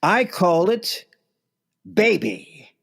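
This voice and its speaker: An actor playing a breezy, self-absorbed, trend-chasing music boss who brushes people off theatrically in a radio comedy. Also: male voice, high-pitched, big pitch swings, extremely slow, gravelly, fairly bright tone, husky, heavily acted. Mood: dismissive. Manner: breezy, theatrical, cuts others off. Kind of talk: comedic radio play acting.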